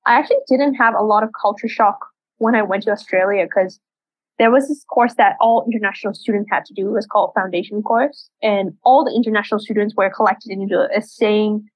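A woman's voice: mid-range voice, a little fast, no echo. Fluent young woman in an interview. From Myanmar. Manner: fluent, frequent "right?" tags. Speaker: fintech founder and guest. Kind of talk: casual interview answers. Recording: dull muffled sound.

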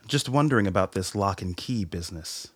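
An actor playing a laconic army major in an audio drama, speaking in a measured, understated way. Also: male voice, sits low, expressive, fairly bright tone, slightly rough, no echo, full character voice. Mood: musing, measured. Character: composed, laconic army major, the colonel's aide.

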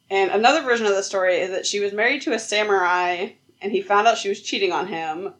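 Woman podcaster chatting through a creepy legend, giggly and rambly. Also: mid-range voice. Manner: giggly, rambling, laughs mid-sentence. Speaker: podcast co-host. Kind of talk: casual storytelling chat.

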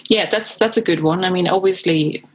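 A woman's voice: lowish voice, a little fast, breathy edge, low-quality recording. A woman from Sweden on a discussion panel, chatty and full of fillers. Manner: chatty, many fillers like "you know" and "um".

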